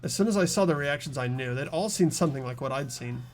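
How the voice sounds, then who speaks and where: A man's voice: mid-range voice, animated, fast talker, soft background music, natural voice. A man voicing an anxious, earnest schoolboy hero in an amateur game dub, narrating in the first person. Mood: tense narration. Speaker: narrator and player of a visual novel playthrough.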